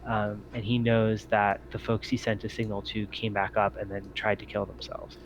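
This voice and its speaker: A man narrating a tabletop roleplaying game as game master, with a halting, hesitant delivery. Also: on the low side, flat delivery, rough recording. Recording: faint background noise.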